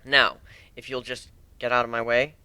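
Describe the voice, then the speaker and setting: A man's voice: medium pitch, level tone, moderate pace, bright, forceful tone, clean tone, dry recording. A man voicing a cocky, jaded male griffin pirate captain with a lazy, sardonic air of command, in a fantasy story reading. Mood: stern, jaded.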